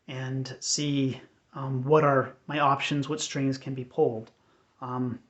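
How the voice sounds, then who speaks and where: An American man giving an unscripted personal update in a measured, earnest, hesitant way. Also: mid-range voice. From United States. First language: English. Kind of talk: unscripted personal talk to camera. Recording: dull, lo-fi sound.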